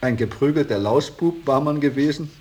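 An actor playing an embittered, idealistic painter in a radio drama, speaking solemnly and rhapsodically, moving between hushed reverence and outbursts. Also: male voice, a little high, moderate pace, close to an everyday voice. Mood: reverent, nostalgic. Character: Lachmann, embittered, idealistic male painter revering his old master. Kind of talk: 1980s German radio drama acting.

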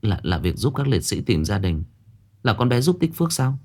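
A man's voice: on the low side, very animated, normal speed, darkish tone. A man voicing a grieving young mother who speaks to an old fortune-teller in a respectful, pleading way. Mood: humble.

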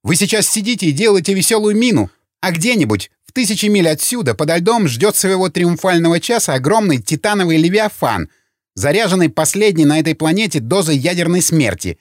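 A man voicing an agitated, indignant minor official in an audiobook, ranting with emphatic, sharp-tongued delivery. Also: fairly high pitch, big pitch swings, moderate pace, no room sound, studio quality, pitched above the performer's own voice. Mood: furious ranting. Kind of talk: audiobook character acting.

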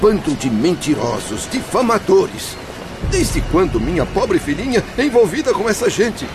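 A man's voice: high voice, big pitch swings, normal speed, over music. A man voicing the ghost of an anguished middle-aged father, pleading and distraught, in a radio drama.

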